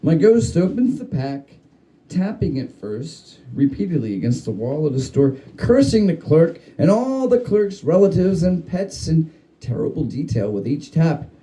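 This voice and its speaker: A man giving a dramatic reading of a humorous spooky story, with theatrical, deliberate phrasing. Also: fairly high pitch, big pitch swings, warm tone, rough recording. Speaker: story reader.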